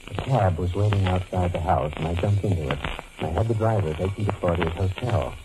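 An actor playing a desperate, unhinged doctor on the run, speaking and narrating intensely in 1940s radio mystery style. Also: male voice, deep voice, a little fast, heavy background noise, close to an everyday voice. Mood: grim. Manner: intense, melodramatic, swinging into near-hysteria. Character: desperate, unhinged fugitive doctor confessing his murders.

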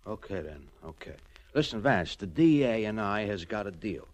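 An American actor playing a chummy, streetwise small-time informer in a 1940s radio crime drama, with slangy underworld talk. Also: male voice, lowish voice, very animated, moderate pace. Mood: confiding. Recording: old 1940s radio transcription.

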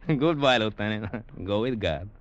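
Deep-voiced sly cafe owner. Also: male voice, expressive, slow, lo-fi sound. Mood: genial, amused. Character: Tio, genial sly Cuban cafe owner, a reformed rogue. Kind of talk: 1940s radio drama acting.

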